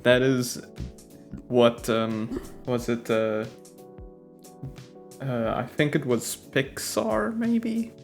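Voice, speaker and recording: Podcast co-host, South African man, Afrikaans native; slow, hesitant delivery with a rough, gravelly edge. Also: mid-range voice, faint music behind. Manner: hesitant, laughs often. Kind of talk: casual chat. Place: living in America.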